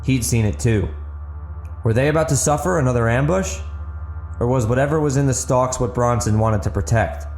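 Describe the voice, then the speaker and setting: A man's voice: medium pitch, normal speed, darkish tone. A man narrating fiction as a dramatic scripted audiobook. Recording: roomy echo, faint music underneath.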